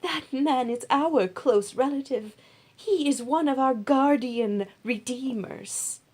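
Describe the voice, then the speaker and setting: Woman acting kindly older mother-in-law, raised pitch, emphatic, motherly, Bible storybook. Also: takes it slowly, darkish tone, breathy edge, full character voice. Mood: delighted.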